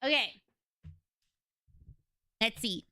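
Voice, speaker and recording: A young woman streamer with a raspy voice, chatting casually and rambling to her viewers from home. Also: fairly high pitch, animated, very slow, sharp tone, dry recording, studio quality. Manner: chatty, rambling, retells conversations.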